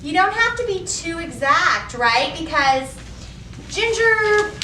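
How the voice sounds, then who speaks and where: A woman leads a storytime for young children in a high, very lively voice that swoops up on questions. She takes her time, explaining and reading in a sing-song way. The sound is roomy and a little distant from the microphone. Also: slow. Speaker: children's library storytime host. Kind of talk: children's storytime instruction and picture-book reading. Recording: roomy, distant mic, faint background noise.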